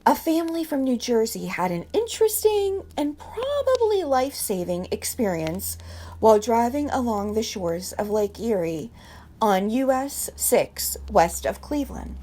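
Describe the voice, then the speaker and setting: Higher-voiced woman reading stories aloud. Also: big pitch swings, measured pace, dark tone. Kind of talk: read-aloud storytelling from a book.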